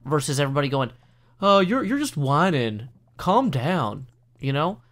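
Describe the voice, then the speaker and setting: A man's voice: on the high side, sing-song, moderate pace, fairly bright tone. A man from the United States hosting a casual gameplay commentary over a desk mic, talking earnestly and rambling with many fillers and restarts. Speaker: let's play host.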